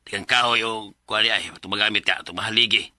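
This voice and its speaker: A man's voice: lowish voice, fairly even intonation, quick pace, bright, forceful tone, no room sound. A man acting a helpful, folksy older uncle in a Cebuano Filipino radio drama. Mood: practical, helpful.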